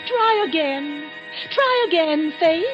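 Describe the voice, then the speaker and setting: A very high, fretful female spirit. She worries in old radio comedy style on a muffled recording with music behind. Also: lively intonation, slow, heavily acted. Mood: worried.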